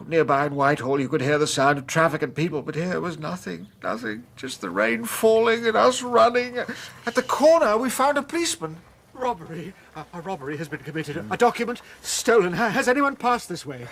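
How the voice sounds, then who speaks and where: Casting: nervy English gentleman clerk, excitable, husky voice with wide swings in pitch, radio drama delivery. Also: brisk, heavily acted. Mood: tense recounting. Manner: hesitant, polite, excitable. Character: highly strung, agitated young gentleman clerk.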